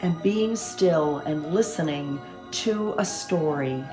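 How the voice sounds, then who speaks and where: A woman speaking reflectively in an interview, measured and deliberate, in an echoing room. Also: low-pitched, over music. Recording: roomy, echoing interview sound.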